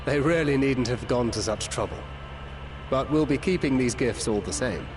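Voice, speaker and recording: A man performs a modest, gracious video game gentleman. His mid-range voice is polite and formal, with music clearly behind it. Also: mid-range voice, normal speed, natural voice. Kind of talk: scripted video game voice acting.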